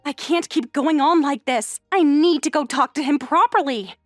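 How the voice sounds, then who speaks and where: A woman voicing an earnest, worried high school girl in an anime-style comic dub, with expressive delivery. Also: normal speed, dry recording, clean studio sound, faint music behind, close to an everyday voice. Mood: resolved.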